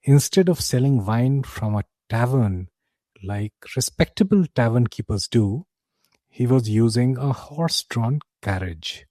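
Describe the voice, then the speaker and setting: Guy narrating fantasy story as a tavern keeper, dramatic, takes his time, mellow, no room sound. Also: medium pitch, lively intonation, no echo. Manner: measured, theatrical, deliberate phrasing.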